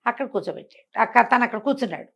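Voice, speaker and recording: High-voiced woman telling a folk tale. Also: lively intonation, very fast, vocal fry, breathy edge. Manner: conversational, explanatory, acts out dialogue, rhetorical questions. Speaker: storyteller. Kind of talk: animated oral storytelling of a moral folk tale.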